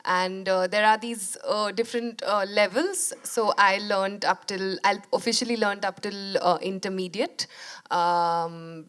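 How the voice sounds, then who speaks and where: A young woman with an Indian accent, a native Bengali speaker, answering audience questions as a filmmaker in a thoughtful, halting way with many restarts. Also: mid-range voice, steady tone, fairly bright tone, clean tone. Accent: Indian English. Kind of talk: post-screening Q&A.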